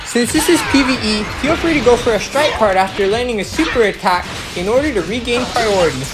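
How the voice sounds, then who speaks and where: A woman's voice: on the high side, lively intonation, brisk. A woman giving an instructional, step-by-step voice-over as the narrator of a gameplay guide. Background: music.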